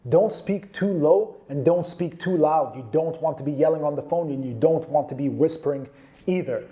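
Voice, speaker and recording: Mellow, higher-pitched man giving instructional tips. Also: moderate pace. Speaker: career advice presenter. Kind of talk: presenting instructional tips to camera.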